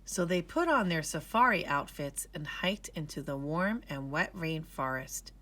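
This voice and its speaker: A woman reading a children's storybook aloud, with expressive, measured, careful enunciation. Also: low voice. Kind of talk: scripted read-aloud narration.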